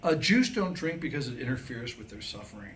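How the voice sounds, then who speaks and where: A man's voice: mid-range voice, very animated, brisk. A middle-aged man delivering a comedic monologue and telling stories before a live audience at a staged reading. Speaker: playwright performing a staged reading. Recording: live room recording with background noise.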